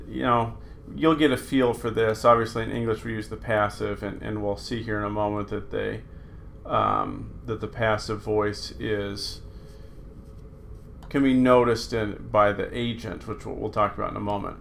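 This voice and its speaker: A man teaching a recorded New Testament Greek lesson, talking through slides in an unhurried way with many fillers. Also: on the low side, little pitch movement, gravelly, a bit bright, slight background noise.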